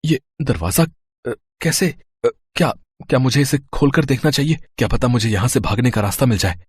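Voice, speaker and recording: A man's voice: medium pitch, expressive, normal speed, a little mellow, slightly rough, dry recording. An actor playing a poor, honest, simple young man who pleads earnestly, in a cartoon moral story. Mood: hesitant worry. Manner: earnest, pleading, talks aloud to himself.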